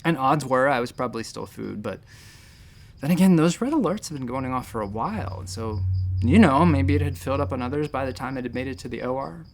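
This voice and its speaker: A man's voice: mid-range voice, expressive, brisk, vocal fry. A man playing a nervous space-station doctor who talks in a hesitant, rambling, self-deprecating way in a sci-fi audio drama. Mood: nervous, wry. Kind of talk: sci-fi audio drama acting, conversational first-person storytelling.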